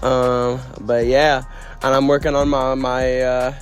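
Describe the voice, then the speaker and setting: A man's voice: medium pitch, little pitch movement, slow, soft background music. A young man from Quebec, a musician being interviewed, talking casually with many fillers and restarts. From Quebec, Canada. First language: French. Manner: rambling, lots of fillers and restarts, slangy.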